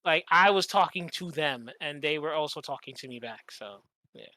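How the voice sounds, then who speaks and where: A man's voice: a little high, animated, moderate pace, pushed and bright, no room sound, poor audio. Candid man chatting, close dry sound. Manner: rambling, candid, freely swearing. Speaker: podcast co-host. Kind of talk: casual chat.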